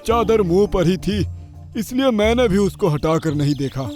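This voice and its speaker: A man's voice: high-pitched, animated, normal speed, darkish tone, faint music behind. A man voicing an earnest male jungle animal in a cartoon story, speaking emphatically. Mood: relaxed, puzzled. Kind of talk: Hindi cartoon moral story voice acting.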